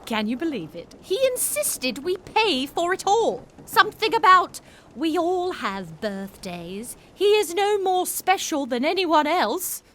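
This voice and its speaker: Bold, high-voiced seafaring woman. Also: big pitch swings, unhurried, a bit bright, full character voice. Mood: cheeky, dramatic. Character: bold, playful, flirtatious seafaring woman, a storyteller. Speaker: actor playing a seafaring woman. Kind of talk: period audio drama acting.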